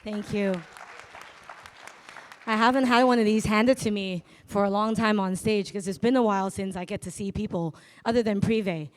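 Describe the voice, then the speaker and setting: A woman with a raspy voice, loud and brash with self-mocking humor, speaking on stage into a handheld mic as a notary at a business event. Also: mid-range voice, fairly even intonation, normal speed, a faint crowd. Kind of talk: live stage sponsor talk.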